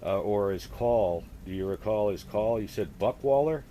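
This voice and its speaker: A man chatting casually as an amateur radio operator, halting and thinking aloud as he goes. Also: on the low side, lively intonation, dark tone, a little hiss or hum. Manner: halting, thinking aloud, spells with phonetic alphabet. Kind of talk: casual two-way radio chat.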